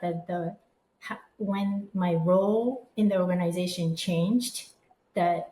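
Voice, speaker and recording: A woman's voice: on the low side, a little mellow. Woman, thoughtful podcast guest, roomy echo, faint noise behind. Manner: thoughtful, frequent affirming murmurs. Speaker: guest, design firm co-managing director. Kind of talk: reflective podcast conversation. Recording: echoey room with faint background noise.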